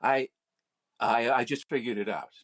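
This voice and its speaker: An actor playing a patient, talkative store clerk in an old-time radio comedy. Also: male voice, mid-range voice, normal speed, fairly bright tone. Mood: curious. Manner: explanatory, patient. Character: chatty, helpful male store clerk.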